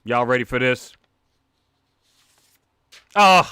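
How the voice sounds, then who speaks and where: A young man with a New York accent, chatting excitably on a livestream while opening comic packs, with a cartoonish way of talking. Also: medium pitch, slow, clean tone, no room sound, studio quality. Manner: excitable, animated, talks like a cartoon character. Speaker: comic collector streamer. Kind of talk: casual livestream chat.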